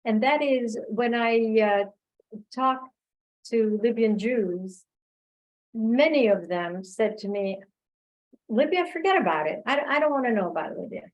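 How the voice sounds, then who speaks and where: A woman giving thoughtful, reflective answers as a guest speaker in an online discussion, pausing as she finds her words. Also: on the high side, animated, poor audio. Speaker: guest speaker, filmmaker and oral history researcher. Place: remote online video call.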